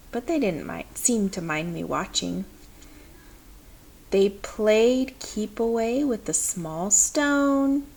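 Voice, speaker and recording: Woman reading a kids book out loud, nice and slow, expressive, medium pitch. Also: husky. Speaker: picture book reader. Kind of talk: children's story read aloud.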